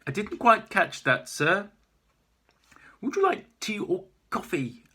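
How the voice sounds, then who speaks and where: A man voicing a calm, overly polite male police officer. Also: sits high, moderate pace, husky, pitched above the performer's own voice, heavily acted. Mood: puzzled.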